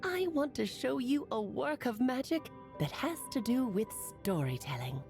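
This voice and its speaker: A theatrical mage-storyteller, teasing and grand, with a fairly high voice that swoops through its range. Every phrase lands like the opening of a fairy tale. Game music plays clearly underneath. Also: female voice, sing-song, moderate pace. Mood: storytelling. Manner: dramatic, storybook flourishes, teasing. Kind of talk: video game dialogue.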